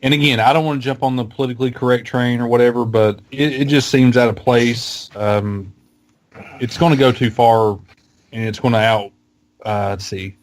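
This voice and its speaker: A man's voice: mid-range voice, steady tone, normal speed. A man with a Southern American accent, co-hosting a podcast and chatting casually in a rambling, hesitant way full of fillers. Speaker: podcast co-host. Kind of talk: casual chat.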